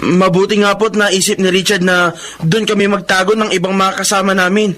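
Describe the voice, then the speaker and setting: Radio drama agitated young Filipino office worker, hot-headed, very high male pitch, noisy background. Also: level tone, brisk, fairly bright tone. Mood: relieved.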